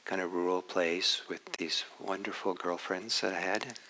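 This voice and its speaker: A man from Norway giving a dharma talk as a meditation teacher, speaking in a reflective, unhurried way with halting restarts. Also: low-pitched, steady tone, bright, forceful tone, rough recording.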